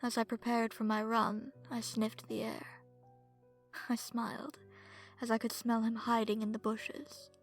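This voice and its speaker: A woman playing a seductive, smug and predatory vampire in an ASMR horror story, speaking in a sultry, breathy way that slips into whispers. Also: a little high, monotone, a little slow, soft background music. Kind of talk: ASMR horror story narration in first person.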